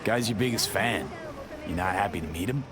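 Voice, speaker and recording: An actor playing a wry, street-smart young mercenary in a video game, talking in a casual, clipped way. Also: male voice, fairly low pitch, brisk, close to an everyday voice. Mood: reflective.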